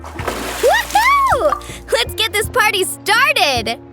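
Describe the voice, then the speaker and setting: A woman playing a bubbly, energetic young girl adventurer in an anime-style video game, eager and full of exclamations. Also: very high-pitched, drawn-out words, full character voice. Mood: cheerful, hurried. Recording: faint music behind the voice. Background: game music.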